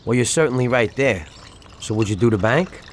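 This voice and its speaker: Male actor as a restless young mobster in a game cutscene; swinging, animated delivery, street noise behind. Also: medium pitch, a little fast, natural voice. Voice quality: streetwise slang, jumpy outbursts. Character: excitable young male gangster, tempted by loot.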